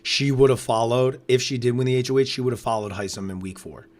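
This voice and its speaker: Hoarse male co-host, raspy and loud, close on the mic, relaxed live-stream chatter. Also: medium pitch, fast. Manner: relaxed, reacts to live chat. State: hoarse after losing his voice. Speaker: podcast co-host. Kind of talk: casual live watch-along commentary. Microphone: close podcast microphone.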